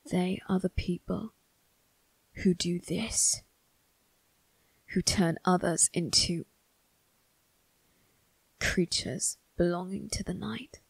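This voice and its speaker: A woman voicing a brooding, cold but protective young male vampire crime boss in an ASMR roleplay, speaking formally and deliberately in a raspy, breathy voice that dips into whispers. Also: fairly low pitch, fairly even intonation, no room sound, full character voice, rough recording. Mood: cold, menacing, bitter. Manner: deliberate, formal, menacing, drawn-out phrasing. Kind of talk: ASMR roleplay, dramatic monologue addressed to listener.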